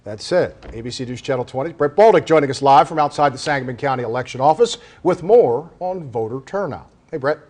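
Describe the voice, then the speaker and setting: A man's voice: medium pitch, expressive, fairly quick, few pauses, darkish tone. American man anchoring local TV news. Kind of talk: scripted local TV newscast. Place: TV news studio.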